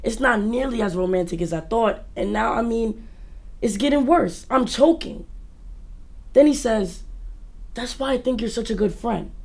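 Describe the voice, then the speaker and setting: A woman performing a jilted dater in a confessional comic monologue, with halting, incredulous delivery. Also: on the high side, vocal fry, a little mellow. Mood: exasperated, hurt. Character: jilted, exasperated woman recounting a disastrous date.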